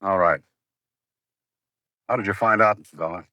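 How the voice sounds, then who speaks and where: A man's voice: deep voice, moderate pace, sharp tone, no room sound, clean studio sound. An actor playing an emphatic, edgy American man in a 1950s radio detective drama. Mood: tense, defiant.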